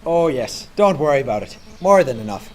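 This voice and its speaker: A man's voice: medium pitch, very animated, a little fast, darkish tone, a little hiss or hum, lightly acted. A man playing an earnest, over-polite Canadian policeman who narrates a comic radio play in a mock-serious way.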